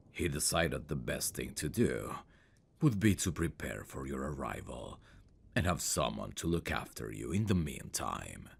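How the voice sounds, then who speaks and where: A man acting a very deep-voiced haughty prince. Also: slight background noise, full character voice. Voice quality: gravelly, breathy low voice. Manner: formal, measured, condescending, commanding. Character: stern, haughty eldest prince, condescending royal schemer. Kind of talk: dramatic fantasy roleplay audio, ASMR.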